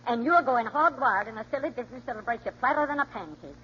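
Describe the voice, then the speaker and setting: A woman's voice: fairly high pitch, expressive, fast talker, bright, forceful tone. An actress playing a stern, exasperated mother of grown boys in a 1940s radio drama. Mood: reproachful, firm. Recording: muffled vintage radio, faint noise.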